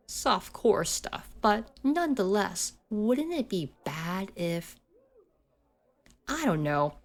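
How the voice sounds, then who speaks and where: Slow, condescending schoolgirl bully, acted by a woman. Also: fairly low pitch. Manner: slow, condescending, teasing menace. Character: mocking, domineering delinquent schoolgirl bully. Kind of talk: ASMR roleplay acting.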